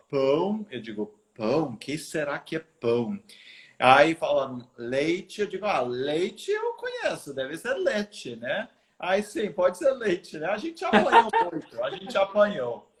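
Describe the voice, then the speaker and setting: Male, American accent in Portuguese, earnest, restarts a lot, slightly high pitch. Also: big pitch swings, a little slow. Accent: American English. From United States. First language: English. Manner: earnest storytelling with many restarts. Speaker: missionary guest. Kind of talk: live video interview.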